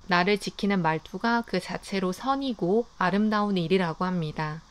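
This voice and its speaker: This is a woman narrating a book review from a script. Her voice is smooth and resonant, at an ordinary middle pitch. She reads calmly and evenly, with no hurry. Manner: calm, even reading of summaries and reflections.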